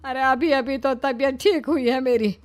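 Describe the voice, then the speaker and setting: A woman's voice: sits high, moderate pace, natural voice. A woman voicing a fussy, sickly older mother-in-law who whines and scolds in a cartoon moral story. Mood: scolding. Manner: whining, scolding. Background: faint music.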